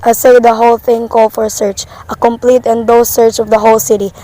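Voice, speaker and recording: A high-voiced, anxious invalid wife played by a woman in an amateur radio play. She is fretful and demanding, pressing her case insistently and at length. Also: flat delivery, brisk, mellow, natural voice, low-quality recording. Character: anxious, demanding invalid wife reporting an overheard murder plot.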